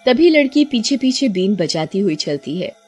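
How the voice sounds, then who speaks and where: A woman's voice: mid-range voice, very animated, moderate pace, darkish tone, slightly rough, faint music behind. A woman giving scripted storybook narration for a cartoon.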